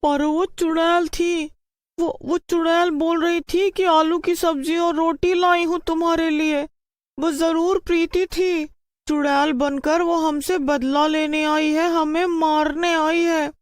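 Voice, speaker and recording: A woman's voice: extremely high pitch, flat delivery, takes it slowly, no echo, clean studio sound, voice pushed up. A woman voicing a bossy, scheming wife with a haughty, mean manner in a cartoon story. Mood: frightened. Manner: commanding, mocking.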